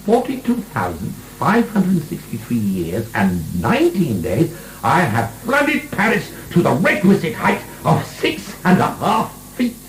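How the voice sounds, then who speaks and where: Wildly swooping, imperious imprisoned marquis. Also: male voice, a little high, huge pitch swings, measured pace, full character voice. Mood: gleeful, ranting. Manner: theatrical, grandiose, chuckling, swinging into shouts. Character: imprisoned French marquis, ranting, mocking, obscene, imperious libertine. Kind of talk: radio drama acting. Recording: echoey stone-room radio drama.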